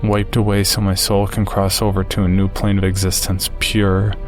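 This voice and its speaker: A man reading a scripted first-person horror story in a somber, measured way. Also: sits low, monotone, soft background music.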